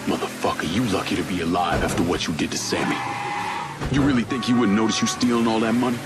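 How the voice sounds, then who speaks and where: A man acts out a vengeful tough guy in a crime game. He speaks in a deep voice, terse and commanding, with menace. Loud music and car sounds play behind. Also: fast talker, lightly acted. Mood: stern. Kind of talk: dramatic video game cutscene acting.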